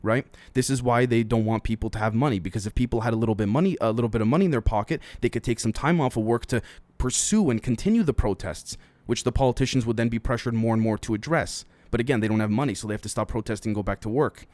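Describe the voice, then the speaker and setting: A man's voice: medium pitch, quick pace, darkish tone, no room sound. A man hosting a solo podcast, running through news items with opinionated, speculative commentary and often speaking directly to his listeners. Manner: frequently addresses listeners directly. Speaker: podcast host.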